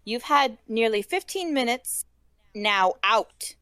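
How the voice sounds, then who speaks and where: A reader voicing a brisk, fussy female school nurse who speaks firmly and stiffly. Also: mid-range voice, animated, dry recording, studio quality, voice pushed up, close to an everyday voice. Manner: firm, stiff. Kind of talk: audiobook reading.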